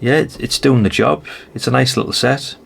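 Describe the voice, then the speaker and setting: A man's voice: mid-range voice, moderate pace, gravelly, a little hiss or hum. A British man giving a casual hands-on showcase as a video host, chatty and rambling with frequent tag questions. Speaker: host of a radio hobby channel.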